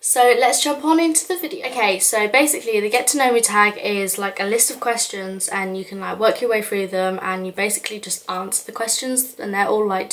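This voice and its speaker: A woman's voice: mid-range voice, a bit bright. A young woman from the UK chatting casually in a get-to-know-me vlog, rambling with lots of fillers. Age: young adult. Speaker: YouTuber.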